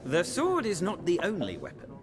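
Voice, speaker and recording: A haughty city scholar. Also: male voice, high voice, wildly swooping pitch, moderate pace, background noise. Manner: haughty, eloquent, condescending. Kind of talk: video game dialogue.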